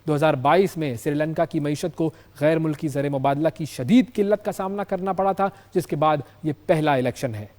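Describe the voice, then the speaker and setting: Male news presenter, Pakistani accent, slightly high voice, emphatic explanatory delivery with repeated key phrases. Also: brisk, hardly any pauses, a little mellow. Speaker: news show host.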